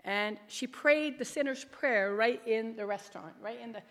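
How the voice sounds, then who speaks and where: A woman's voice: on the high side, moderate pace, a bit bright. A middle-aged woman with a big, emphatic voice, giving an earnest, rambling personal testimony as a lay ministry leader. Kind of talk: personal testimony at a church gathering. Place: large reverberant room, speaking to an audience. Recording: reverberant hall.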